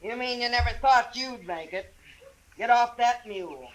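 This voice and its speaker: A woman's voice: fairly high pitch, moderate pace, natural voice, rough recording. An actress playing a big, tough, ornery frontier woman in a 1950s radio western, with an American accent and a blunt, domineering manner. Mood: bullying, irate. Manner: loud, domineering, blunt, rustic speech.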